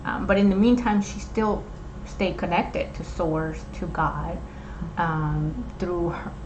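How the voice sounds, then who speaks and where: A young woman from Puerto Rico, with a Puerto Rican accent and Spanish as her first language, explaining things in a measured way. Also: on the low side, steady tone, darkish tone, highs cut off, a little hiss or hum. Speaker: host. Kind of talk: casual chat.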